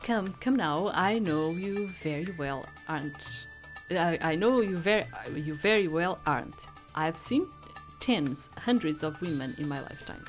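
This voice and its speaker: Woman reading as slow-talking flirtatious male womanizer. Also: on the low side, soft background music. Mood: smug. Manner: florid, leering flattery. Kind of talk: visual novel dialogue read aloud.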